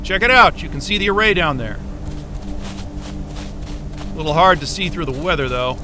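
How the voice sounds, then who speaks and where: An actor playing a weary, disgruntled scientist who mutters sardonic, profane asides in a naturalistic audio drama, with noise around him. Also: male voice, on the high side, lively intonation, moderate pace, over music, natural voice. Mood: dry, weary. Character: weary, disgruntled male astrophysicist talking to his dog.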